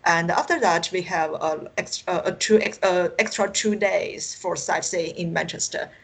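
A woman from China with a Chinese accent speaks as a guest on an internet call. Her voice is slightly low, and she answers casually, often pausing to search for the right word. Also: creaky, a bit bright, slightly rough. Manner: hesitant, searching for words. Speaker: podcast guest, author. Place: remote Skype call.

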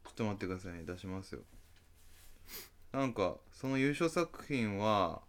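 Animated low-voiced man, casual podcast host. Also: normal speed. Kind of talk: casual chat, recommending a show.

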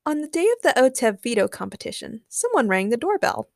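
A woman's voice: on the high side, very animated, fairly quick, creaky, darkish tone, no room sound, clean studio sound. A woman narrating a fan fiction story as a podcast host.

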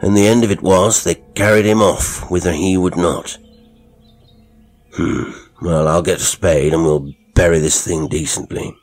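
A man voicing a gruff, impatient older country squire. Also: sits low, fairly even intonation, unhurried, darkish tone, soft background music.

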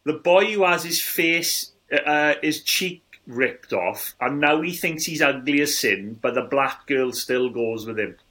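A man's voice: a little high, unhurried, hardly any pauses, bright, forceful tone. A man in his forties co-hosting a casual film review podcast, speaking bluntly with an incredulous, complaining manner. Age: 40s. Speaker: podcast co-host.

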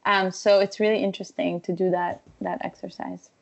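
Gravelly-voiced woman telling stories animatedly. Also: lowish voice, fairly quick. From Israel. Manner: animated storytelling, frequent laughs. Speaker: podcast guest, wellness coach. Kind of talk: conversational interview.

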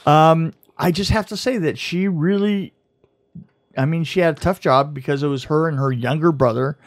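A man's voice: fairly high pitch, normal speed, dry recording, studio quality. A man co-hosting a podcast, chatting casually with many restarts and wry jokes. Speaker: podcast co-host. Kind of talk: casual chat reviewing a TV series.

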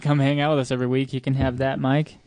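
A man's voice: medium pitch, little pitch movement, quick pace, darkish tone, no room sound. A young man hosting a podcast, chatting casually and trading crude jokes with friends. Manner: joking, laid-back banter. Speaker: podcast host. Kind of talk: casual chat.

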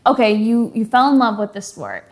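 A woman's voice: fairly high pitch, sing-song, a little fast. Effusive young woman podcast host. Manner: effusive, upbeat, frequent "like" fillers. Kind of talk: interview questions.

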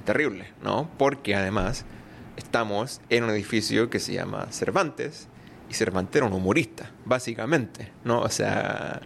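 Gravelly-voiced Chilean man chatting. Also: on the low side, slight background noise. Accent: Chilean Spanish. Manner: relaxed, laughs often, many tag questions. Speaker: writer, interview guest. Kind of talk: casual conversational interview.

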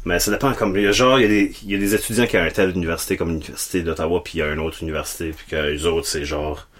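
Young dude on a podcast, really low voice, kinda husky. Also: male voice, rapid-fire. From New Brunswick, Canada. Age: young adult. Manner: relaxed, mixes English into French, hesitant fillers. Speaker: podcast guest. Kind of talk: casual podcast chat.

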